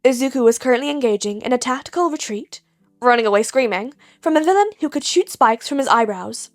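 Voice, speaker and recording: A woman's voice: on the high side, expressive, brisk, no room sound, clean studio sound. A woman reading a scripted fan fiction story aloud as its narrator. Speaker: podfic narrator.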